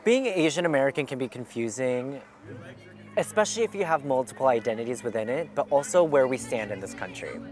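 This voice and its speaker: Earnest young man, thoughtful pauses. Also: mid-range voice, animated. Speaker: documentary interviewee. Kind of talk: reflective personal account. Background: music.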